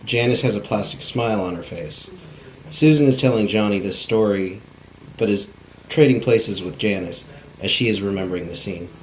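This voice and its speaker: A man's voice: on the low side, moderate pace, a little hiss or hum, low-quality recording. A man reading a script's stage directions as narrator, in the plain manner of a non-actor. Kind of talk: scripted narration at a table read.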